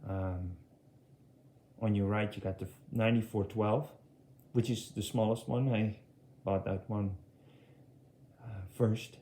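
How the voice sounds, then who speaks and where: A middle-aged man from Europe giving a casual, unscripted walkthrough as a hobbyist, speaking haltingly and repeating words. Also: fairly low pitch, steady tone, dark tone. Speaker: audio hobbyist presenting his speaker collection. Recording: echoey room sound.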